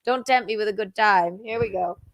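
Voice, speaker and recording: A woman's voice: mid-range voice, brisk, low-quality recording. A young woman from the American South hosting a late-night chat show, joking and laughing as she tells stories. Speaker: host.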